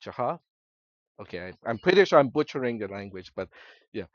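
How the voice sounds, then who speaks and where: A man's voice: medium pitch, very animated, brisk, dry recording. Lecturer, male, first language Cantonese, conversational classroom talk, slightly muffled dry recording. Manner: conversational, checks in with students often. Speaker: college instructor.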